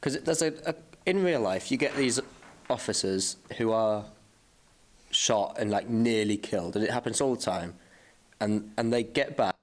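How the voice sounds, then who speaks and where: A man's voice: lowish voice, lively intonation, normal speed. A man on a podcast, talking casually as he discusses a film. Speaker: podcast host.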